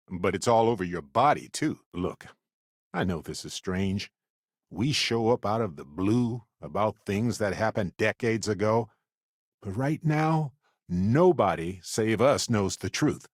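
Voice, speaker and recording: Game companion role: wry, kindly space explorer, lowish pitch, sincere everyday delivery, very dry studio sound. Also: male voice, animated, a little slow, dry recording. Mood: wry, easygoing. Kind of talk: video game voice acting.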